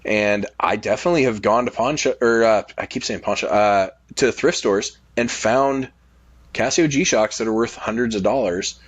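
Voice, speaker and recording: A man's voice: on the low side, moderate pace, dry recording, muffled recording. A man talking casually on a podcast, sharing personal anecdotes in a loose, conversational way. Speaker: podcast speaker.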